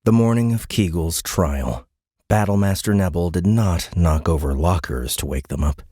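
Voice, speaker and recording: Deep, husky male audiobook narrator. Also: normal speed, dark tone, dry recording, clean studio sound. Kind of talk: scripted narration.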